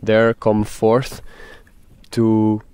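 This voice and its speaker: A man leading a guided meditation, speaking in a deliberate, halting way with pauses. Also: on the low side, monotone, a little mellow, clear, resonant tone, dry recording.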